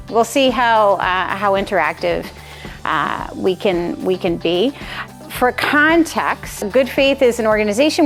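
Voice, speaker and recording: An American woman in her forties speaking informally and with some self-deprecation as she presents to a small group in a conversational way. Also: a little high. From Massachusetts, United States. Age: 40s. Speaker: presenter, nonprofit president.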